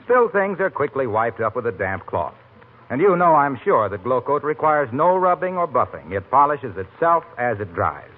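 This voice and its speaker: Husky American radio announcer man, tinny sound. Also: medium pitch, very animated, normal speed. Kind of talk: 1940s radio announcing, scripted. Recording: tinny old-time radio recording.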